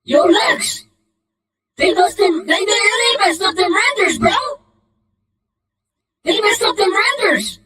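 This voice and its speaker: A yelping, whiny dog voice, squeaky and sharp. It rants and shouts its complaints. The sound is distant and echoey, as if from across the room. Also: male voice, slow, pauses often, heavily acted. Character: dog mimicking a whiny gamer, high-pitched.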